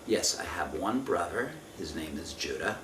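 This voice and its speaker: Man, 50s, interviewee. Low, bright voice, careful halting recall, distant mic. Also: animated. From Israel. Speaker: interviewee giving family testimony. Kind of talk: oral history interview, recounting from memory. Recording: roomy, distant mic with faint noise.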